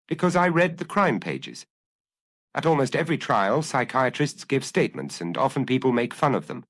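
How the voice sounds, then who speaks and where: An anguished young male killer, read by a male narrator in a detective audiobook. He confesses earnestly, picking over his own impulses. The pitch moves widely, and the sound is close and dry. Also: sing-song, normal speed, a bit bright, no room sound. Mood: desperate. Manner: earnest, self-analyzing, confessional. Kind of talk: dramatic audiobook reading.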